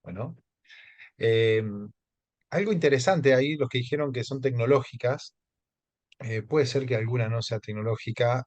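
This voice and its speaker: A man's voice: mid-range voice, moderate pace, long pauses, a little mellow, dry recording, muffled recording. A man from Argentina with an Argentine accent, presenting a live webinar, speaking conversationally with frequent hesitations and questions to the audience. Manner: conversational, hesitant with many fillers, keeps asking the audience.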